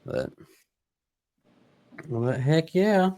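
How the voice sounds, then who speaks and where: A man co-hosting a casual music chat, laid-back and hesitant, filling pauses with ums and uhs. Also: fairly high pitch, lively intonation, dark tone, no echo. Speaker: podcast co-host.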